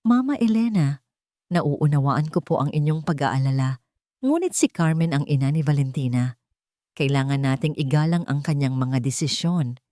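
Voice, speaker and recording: A woman's voice: deep voice, lively intonation, moderate pace, warm tone, no echo. A woman storyteller plays an earnest husband in a dramatic audio story. He speaks politely and respectfully, yet firmly. The recording is dry. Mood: respectful pleading. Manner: polite, firm, pleading. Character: earnest, respectful husband mediating between wife and in-law.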